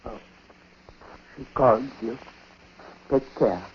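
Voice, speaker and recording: A bitter, superstitious old man on his deathbed as acted by a man, grave and halting, drags out each phrase very slowly. The 1940s radio drama recording is dull, with faint noise. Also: medium pitch, natural voice. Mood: wary. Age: older.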